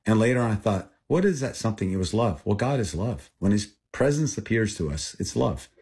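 A man in his sixties with an American accent and a husky voice, telling personal stories earnestly in short, plain sentences as an interview guest. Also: on the low side, animated, a little fast, a little mellow. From Thailand, born in Texas. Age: 60s.

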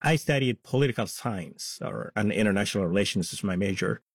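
A man's voice: lowish voice, fairly quick, creaky, slightly rough, no room sound, studio quality. A man in his forties from Somalia, reflecting plainly on his past in a radio interview. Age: 40s. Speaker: interview guest.

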